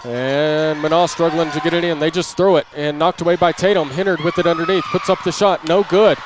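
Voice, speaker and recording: High-pitched man calling basketball over gym noise. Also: steady tone, a little fast. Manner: clipped, present-tense running calls. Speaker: play-by-play sports announcer. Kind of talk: live radio-style basketball commentary. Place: indoor gymnasium during a basketball game. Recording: noisy live gym broadcast.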